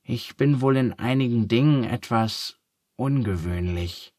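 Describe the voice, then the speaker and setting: A man's voice: mid-range voice, unhurried, darkish tone, no room sound, studio quality, pitched above the performer's own voice, lightly acted. A man voicing a timid, clumsy young male elephant who talks hesitantly and plaintively in a storybook reading. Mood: worried.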